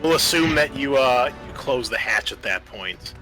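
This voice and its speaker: A man's voice: medium pitch, animated, moderate pace, sharp tone. A man speaking casually as game master and host, narrating a tabletop game with informal, hesitant phrasing. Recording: faint music under the voice.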